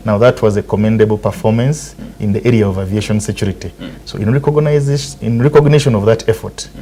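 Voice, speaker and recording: A man with a Ugandan accent giving formal, measured explanations as an official being interviewed. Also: on the low side, warm tone, a little hiss or hum. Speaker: aviation authority spokesman, interview guest.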